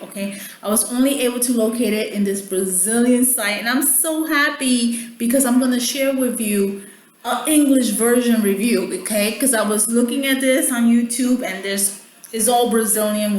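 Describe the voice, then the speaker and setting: A chatty woman with a slightly high voice talks through her purchases in a loose, friendly way, often checking in with her listeners. Spanish is her first language. Her voice rings around an echoey home room, with a faint hum underneath. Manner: chatty, keeps checking in with "okay?". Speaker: beauty vlogger. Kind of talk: casual product haul chat.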